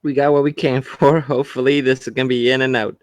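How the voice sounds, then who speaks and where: A man's voice: medium pitch, flat delivery, quick pace, no echo. A man chatting casually as a player in a tabletop role-playing game.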